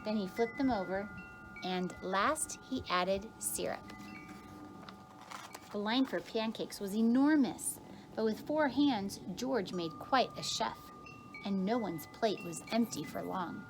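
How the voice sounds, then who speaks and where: A woman's voice: mid-range voice, takes it slowly, noisy background. A woman teacher reads a children's picture book aloud expressively, drawing out key words for emphasis. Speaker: kindergarten teacher reading aloud.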